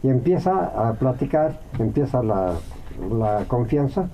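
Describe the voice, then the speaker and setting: A Mexican man, a radio guest, answering at length in a reflective way, with many pauses and fillers. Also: medium pitch, animated, mellow, a little hiss or hum, rough recording.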